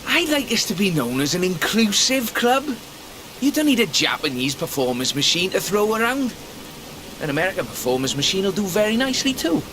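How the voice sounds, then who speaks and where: A man's voice: high-pitched, very animated, fairly quick. An actor with a British accent playing a fussy, polite male drift club instructor in a video game, chatty and precise. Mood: pleased, chatty. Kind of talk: scripted video game character dialogue. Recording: loud music and car engine noise. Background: music and car engine sounds.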